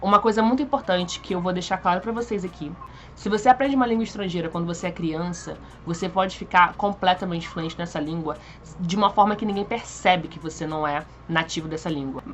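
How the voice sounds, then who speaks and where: A woman's voice: fairly low pitch, brisk, a bit bright, soft background music. A young woman, telling a personal story to camera in a chatty, informal way. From Brazil. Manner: chatty, informal, frequent fillers and restarts. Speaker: vlogger.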